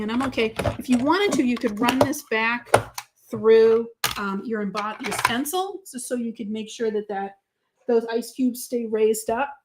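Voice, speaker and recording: A woman's voice: a little high, background noise. A woman with a Boston accent hosting a live crafting demo, chatty and gushing, talking to viewers by name. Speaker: craft show host.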